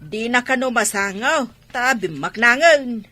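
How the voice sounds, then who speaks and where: Woman acting gossipy nosy village lady, sharp bright voice, ilocano accent, dry sound, audio drama. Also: on the high side, expressive, a little slow, no room sound, faint music behind, full character voice. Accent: Filipino, Ilocano.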